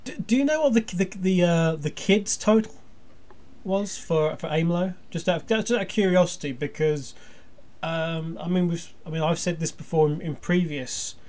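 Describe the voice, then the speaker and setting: A man chatting as a podcast panelist, halting and repeating words as he works out his questions. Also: fairly high pitch, a little mellow. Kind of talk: casual discussion.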